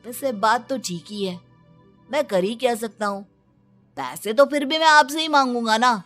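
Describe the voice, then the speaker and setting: Cartoon teen son, played by a woman: slightly high pitch, lazy, spoiled, cheeky whiner. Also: expressive, moderate pace, a bit bright, faint music behind. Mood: demanding, flippant. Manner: whining, flippant. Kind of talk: Hindi cartoon moral-story acting.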